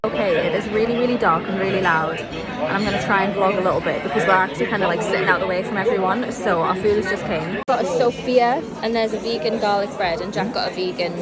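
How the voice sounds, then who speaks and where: A woman's voice: mid-range voice, fast talker, a loud crowd. A young woman from the UK chatting casually to camera in a rambling, informal way as she vlogs. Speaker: vlogger. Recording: very noisy, busy background, camera microphone.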